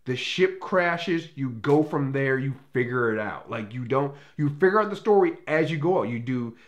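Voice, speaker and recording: A man's voice: medium pitch, animated, normal speed, muffled recording. A man speaking off the cuff as a film reviewer, opinionated and emphatic, with casual swearing. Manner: profane. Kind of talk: casual unscripted review commentary.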